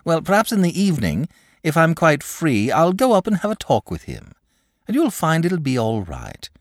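A man voicing a calm, bookish, self-assured young boy in a storybook, explaining things in a patient, matter-of-fact know-it-all way. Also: on the high side, moderate pace, no room sound, clean studio sound, pitched above the performer's own voice. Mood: assured, unruffled. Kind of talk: storybook character voice.

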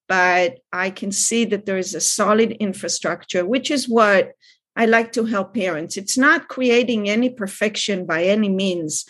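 Woman, 50s, calm and thoughtful, medium pitch. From Israel. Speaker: child development expert guest. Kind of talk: conversational interview answers.

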